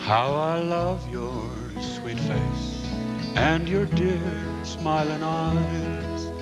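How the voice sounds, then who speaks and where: A man sings an old-time country love song at a very slow pace. Guitar and dobro play loudly along with him. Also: a little high. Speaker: singer. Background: guitar and dobro accompaniment.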